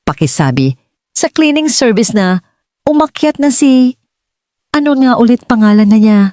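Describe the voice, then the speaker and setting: A woman's voice: on the high side, animated, normal speed, warm tone, no echo, studio quality, voice pushed up, close to an everyday voice. A woman voicing a cold, cruel male billionaire boss with a curt, imperious manner in an audiobook drama. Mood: dismissive.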